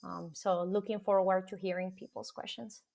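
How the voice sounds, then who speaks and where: A woman's voice: medium pitch, little pitch movement, normal speed, gravelly, darkish tone. A woman from Venezuela whose first language is Spanish, speaking English with a Venezuelan accent as a podcast guest, thinking aloud with frequent ums and restarts. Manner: thoughtful, many ums and restarts. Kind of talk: casual interview chat.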